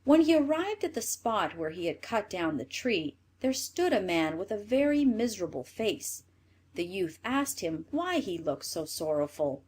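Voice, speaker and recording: A woman reading a scripted fairy tale as a storyteller, in an expressive manner. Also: medium pitch, normal speed, slightly rough.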